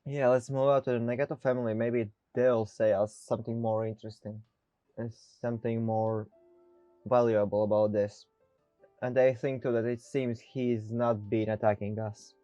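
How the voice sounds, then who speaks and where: A man's voice: medium pitch, level tone, normal speed, warm tone, dry recording, muffled recording, soft background music. A man who is a non-native English speaker, giving casual gameplay commentary, thinking aloud somewhat hesitantly. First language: not English. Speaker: gaming streamer.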